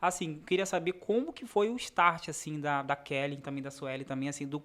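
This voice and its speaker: A man's voice: on the high side, steady tone, fairly quick, a bit bright. A man with a Rio de Janeiro accent hosting a casual interview in an informal, slangy way. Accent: Rio de Janeiro Brazilian Portuguese. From Rio de Janeiro, Brazil. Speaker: podcast host.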